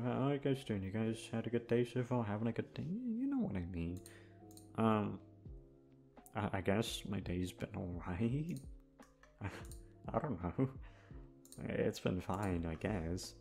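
A man's voice: fairly low pitch, very animated, slow pace, creaky, long pauses, a little mellow, soft background music. A young man chatting casually and off the cuff to his listeners, rambling and a little self-conscious. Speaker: audio roleplay voice actor. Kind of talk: casual, unscripted outro chat.

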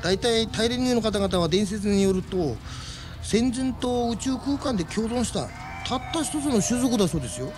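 A man's voice: high-pitched, lively intonation, normal speed, a little mellow, soft background music. An actor playing a folksy, chatty alien man who explains things in a Japanese radio drama. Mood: matter-of-fact.

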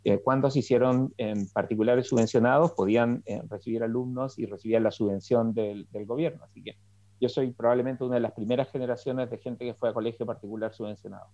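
A man's voice: mid-range voice, fairly even intonation, fast talker, warm tone, no room sound. Man telling his story, muffled call. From Chile. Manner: reflective storytelling with many fillers and restarts. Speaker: political scientist guest. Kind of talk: interview over video call. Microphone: video call headset. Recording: muffled dry video call audio.

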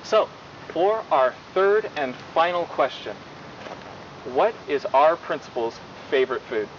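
A young man playing a cheery, faintly menacing high school news anchor in a 1990s horror broadcast, with formal, polished delivery. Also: animated, unhurried. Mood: formal, upbeat. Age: young adult. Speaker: news host. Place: outdoors in a forest at night. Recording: dull lo-fi VHS-style audio, faint noise.

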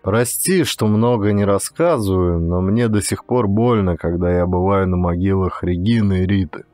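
A melancholy man from an audiobook thriller, voiced by a male narrator. He confides in a reflective, sorrowful way with a fairly low voice. Faint music sits underneath. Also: normal speed, warm tone, no echo, close to an everyday voice. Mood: wistful. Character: melancholy, grateful man confiding about his city.

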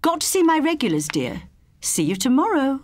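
A woman voicing a bustling, chatty female home help in an audiobook story, breezy and hurried. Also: high voice, big pitch swings, darkish tone, pitched above the performer's own voice.

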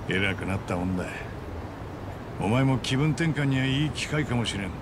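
An actor playing a gruff, frank older male friend in a video game, gravelly and bluntly familiar. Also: mid-range voice, lively intonation, normal speed, background noise, natural voice. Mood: familiar, teasing. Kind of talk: dramatic video game voice acting.

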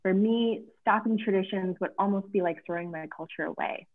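A woman moderating a virtual author panel, speaking in an effusive, measured way over a video call. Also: medium pitch. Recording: tinny phone-like video call audio.

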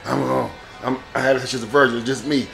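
A man doing a casual street interview, recapping and questioning in a halting, repetitive way. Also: medium pitch, steady tone, gravelly, a bit bright, soft background music, low-quality recording.

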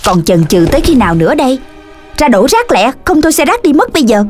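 A woman's voice: high-pitched, very animated, fast. A woman voicing a strict, scolding mother dog in a children's cartoon, nagging and emphatic, with a Southern Vietnamese accent. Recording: music behind the voice.

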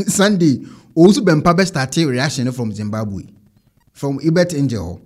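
A man in his thirties with a Ghanaian accent, giving animated commentary as an online show host into a close desk microphone. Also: mid-range voice, normal speed. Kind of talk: animated commentary on trending videos. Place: indoor home studio.

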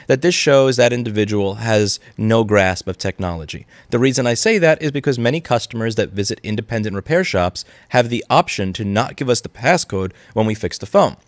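A man's voice: mid-range voice, no room sound. A man in his thirties giving an indignant, argumentative commentary straight to camera, pushing his points with emphatic rising stresses. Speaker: repair shop owner and channel host. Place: indoor room with brick wall and couch. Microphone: studio mic on boom arm in front.

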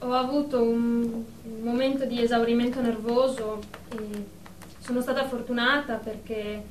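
A woman's voice: fairly high pitch, little pitch movement, normal speed, lightly acted. An actress playing a haughty, touchy female executive in her thirties, a prim and defensive patient in a radio drama. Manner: prim, self-important, defensive. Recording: distant, echoey room with faint noise.

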